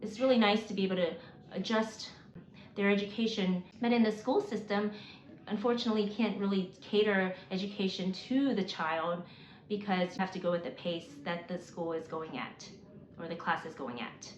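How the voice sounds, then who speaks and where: A woman in her forties, raised in Mississippi, working through a prepared list of reasons in an earnest, measured way with occasional restarts. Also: mid-range voice. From South Korea, raised in Mississippi. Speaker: homeschooling mom. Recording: roomy, slightly dull, faint hiss.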